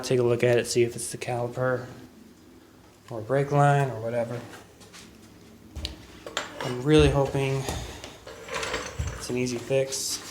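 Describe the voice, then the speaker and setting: A man narrates his own repair vlog in a casual, monotone voice. He speaks at a middling pitch and feels his way hesitantly through sentences. Some noise sits behind his words. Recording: noticeable background noise.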